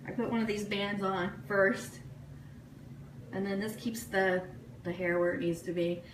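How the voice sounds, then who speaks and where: A woman from the United States hosting a casual, chatty livestream radio show in a gushing, excitable way, full of thank-yous and shout-outs. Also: medium pitch, measured pace. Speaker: online radio show host. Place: room, live social media stream. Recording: echoey room, faint noise.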